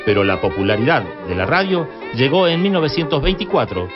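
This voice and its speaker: A man's voice: medium pitch, very animated, brisk. An Argentine man reading scripted documentary narration as the programme's narrator. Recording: dull audio under loud music. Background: loud music.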